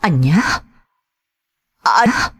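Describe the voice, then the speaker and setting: Scolding Ilocano mom voiced by a woman, exasperated, lively pitch, slow lines. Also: slightly rough, heavily acted. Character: worried middle-aged mother scolding her son.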